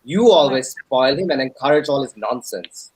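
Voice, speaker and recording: A man's voice: medium pitch, animated, moderate pace, slightly rough, rough recording. A man playing a stern, reproachful father in a dramatic reading of a family story. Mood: stern, accusing. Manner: blaming, reproachful.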